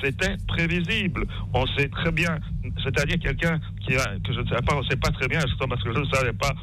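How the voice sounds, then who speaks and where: A man calling in to a radio show, rambling and halting as he talks. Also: medium pitch, a bit bright, poor audio. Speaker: caller. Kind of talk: phone-in call.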